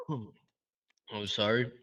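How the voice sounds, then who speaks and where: A stranger on a video chat, a man talking in a slow, offhand way and swearing casually. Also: medium pitch, breathy edge. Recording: muffled distant voice over a video call.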